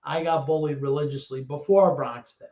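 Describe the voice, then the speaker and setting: A man, telling stories as a guest in a casual interview chat. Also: a little high. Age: 30s. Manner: animated storyteller with quick asides. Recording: echoey lo-fi call audio.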